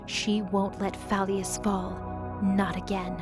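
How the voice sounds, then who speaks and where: A woman's voice: medium pitch, little pitch movement, takes it slowly. Woman narrating a drama over music. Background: background music.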